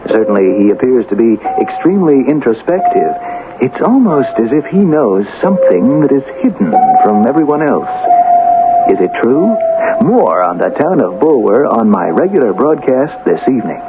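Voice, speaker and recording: An actor playing an educated, probing radio reporter in a vintage radio drama, speaking in a formal, measured, inquisitive way. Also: male voice, mid-range voice, very animated, over loud music, natural voice. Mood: portentous reporting. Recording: lo-fi old radio recording.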